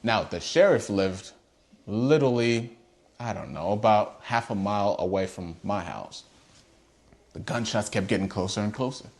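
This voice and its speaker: A man from Haiti, his voice sitting on the lower side, delivers a heartfelt monologue straight to his audience. He is emphatic and earnest, pressing his points with pointed rhetorical questions. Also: expressive, moderate pace. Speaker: host. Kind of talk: earnest personal monologue to camera.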